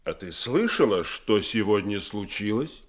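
An actor playing a stern, imperious admiral and father in a radio drama, gruff and commanding. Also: lowish voice, sing-song, unhurried. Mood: stern, indignant.

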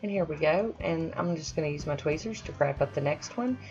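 A woman's voice: sits low, brisk, darkish tone, muffled recording, faint music behind. A woman chatting casually over her own nail painting, self-deprecating with friendly asides. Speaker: nail art vlogger. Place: home manicure station, voice-over.

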